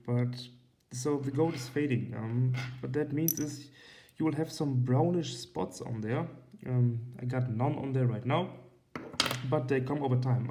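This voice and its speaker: A man's voice: medium pitch, mellow, a little hiss or hum. A young man in his twenties with a German accent, hosting a casual watch review vlog, rambling with many ums and casual swearing. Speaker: watch and cigar channel host. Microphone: iPad.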